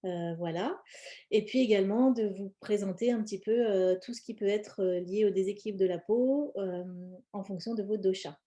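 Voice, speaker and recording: A woman from France gives an informal explanatory live presentation, speaking hesitantly and often pausing mid-phrase. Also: medium pitch, warm tone. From born in India, grew up in France. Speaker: presenter, cosmetics brand founder.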